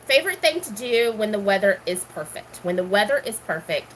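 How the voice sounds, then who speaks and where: A middle-aged woman chats casually to her viewers, working through a list of questions. Her voice is bright and forward, at an ordinary pitch for a woman. She rambles, filling pauses with ums and let's sees. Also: very animated, slight background noise, poor audio. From Houston, Texas. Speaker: gardening vlogger. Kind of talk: casual chat answering questions.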